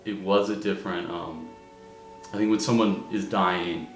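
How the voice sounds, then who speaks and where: Young male interviewee, thoughtful and informal, deep voice in a roomy space. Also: steady tone, normal speed. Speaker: documentary interviewee, student. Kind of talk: candid, reflective interview talk. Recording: roomy echo with faint music. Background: faint instrumental music.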